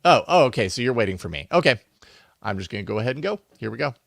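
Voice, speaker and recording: A man's voice: medium pitch, sing-song, rapid-fire, creaky, a bit bright, dry recording. Casual male podcast host, dry close sound. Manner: informal, conversational. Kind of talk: casual chat, live show hosting.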